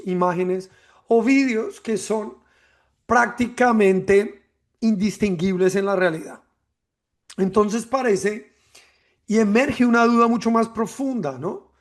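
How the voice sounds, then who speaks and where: A man from hosts a podcast in a high, light voice. He talks in a measured, reflective way, often ending a thought with a tag question. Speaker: podcast host. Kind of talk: reflective podcast monologue and interview.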